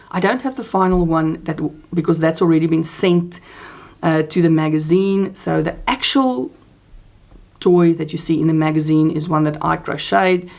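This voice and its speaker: Female crafting host, Afrikaans first language, low gravelly voice, chatty and meandering. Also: a little mellow. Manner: chatty, rambling with many restarts and fillers. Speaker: crochet channel host. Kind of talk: casual crafting chat.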